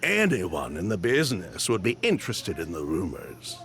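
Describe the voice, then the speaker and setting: A man's voice: deep voice, wildly swooping pitch, moderate pace, fairly bright tone, exaggerated voice. A man playing a sly, affable perfume merchant with a theatrical manner in a video game. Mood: jovial, sly. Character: smooth-talking, sly perfume merchant, the elder brother. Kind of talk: video game character acting.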